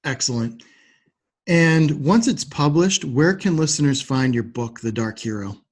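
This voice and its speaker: Male podcast host, slightly high voice, polite and articulate, tidy presenting style. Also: animated, measured pace. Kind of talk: interview hosting with scripted sign-off.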